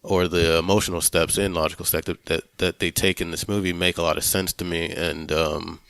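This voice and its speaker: A man co-hosting a film podcast, talking casually and haltingly as he searches for words, with some swearing. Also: low voice, flat delivery, vocal fry, fairly bright tone, smooth, dry recording. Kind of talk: casual film review discussion.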